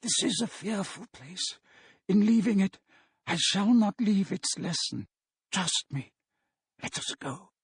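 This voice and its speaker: Tremulous, high-pitched old miser. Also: male voice, expressive, takes it slowly, breathy edge, no echo, studio quality, full character voice. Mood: subdued dread. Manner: tremulous, beseeching, exclamatory. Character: frightened, repentant old miser pleading with a spirit.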